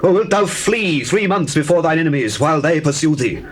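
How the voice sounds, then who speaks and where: An actor playing an urgent, forceful biblical figure in a scripture drama, with declamatory delivery. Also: male voice, a little high, animated, brisk, lightly acted. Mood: stern urging. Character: urgent, forceful biblical man of high station. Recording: faintly noisy old cassette tape.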